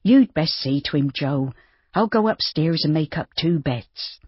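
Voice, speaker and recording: Kindly bustling farm wife, reader doing the voice, bit higher, husky and dull. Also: animated, unhurried, no room sound. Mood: motherly, brisk. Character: bustling kindly farmer's wife, motherly and brisk.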